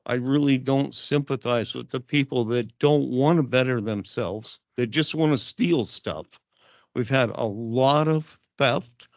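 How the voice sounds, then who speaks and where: A man's voice: medium pitch, unhurried, no room sound. Blunt, aggrieved older man. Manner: aggrieved, blunt, rambling complaint. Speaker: resident speaking at public comment. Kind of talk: public comment at a council meeting. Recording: dull lo-fi council chamber mic.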